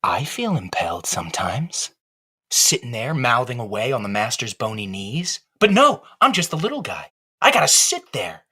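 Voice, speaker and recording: A man playing a philosophical wooden ventriloquist dummy in a comic audio play, an insistent, questioning underdog with a husky voice. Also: mid-range voice, big pitch swings, normal speed, pushed and bright, heavily acted. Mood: aggrieved, defiant.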